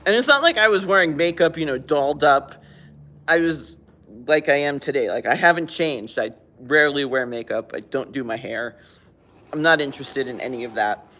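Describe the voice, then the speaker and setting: An older woman hosts a relaxed livestream from a library, chatting and joking at her own expense. Her voice is bright and forward. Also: deep voice. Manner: chatty, self-mocking, quick to laugh. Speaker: livestream host. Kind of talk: casual chat. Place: public library.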